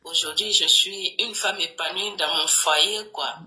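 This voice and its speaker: A woman caller, hoarse from a cold, earnestly thanking the coach. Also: on the low side, a little slow, sharp tone, poor audio. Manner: grateful, earnest. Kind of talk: phone-in testimony.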